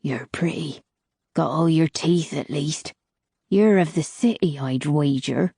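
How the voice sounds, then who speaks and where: A low, gravelly old crone of the desert, coarse and wry, who curses freely and drawls her words slowly, as a woman narrator puts her on. She sounds very old and weathered, and the recording is dry and close. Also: mellow, dry recording, exaggerated voice. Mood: sly. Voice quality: deep, gravelly. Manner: swears freely, blunt.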